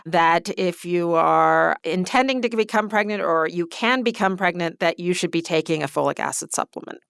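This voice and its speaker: Smooth, clear-voiced woman podcast host. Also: on the low side, normal speed, fairly bright tone, no echo, clean studio sound. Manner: clear, structured, recapping what was said. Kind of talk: interview questions and summaries.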